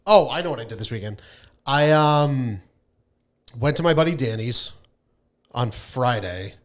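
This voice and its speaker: Blunt, sweary man chatting, medium pitch. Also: lively intonation, a little slow. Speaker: podcast co-host. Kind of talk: casual chat.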